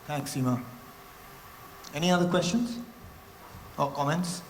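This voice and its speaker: A man's voice: on the high side, a little slow. Man moderating a panel in an echoey hall. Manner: conversational, with frequent restarts and fillers. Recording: echoey hall with faint noise.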